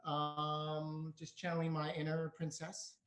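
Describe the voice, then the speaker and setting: A woman, a contest player, speaking in a slow, odd deadpan. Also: sits low, roomy sound.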